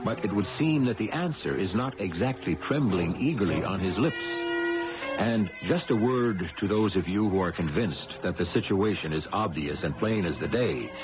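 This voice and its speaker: Deep, theatrical radio mystery narrator, male. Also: animated, moderate pace. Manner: wry, theatrical, ornate phrasing. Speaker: host and narrator. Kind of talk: scripted old-time radio mystery narration. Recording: dull old radio recording. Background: prominent music.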